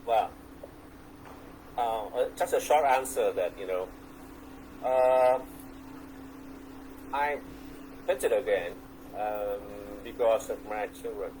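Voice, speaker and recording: A man's voice: lowish voice, a little mellow, slight background noise, low-quality recording. A middle-aged man with a Vietnamese accent, a guest poet answering on a video call, hesitant and full of fillers and restarts. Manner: slow, hesitant, many fillers and repeats. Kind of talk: relaxed conversational interview.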